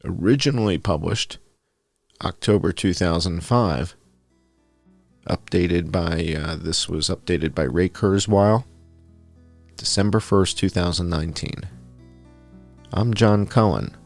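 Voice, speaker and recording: A man's voice: sits low, takes it slowly, vocal fry, pauses often, soft background music. A man reading a scripted essay aloud as a narrator, in the style of a bedtime story.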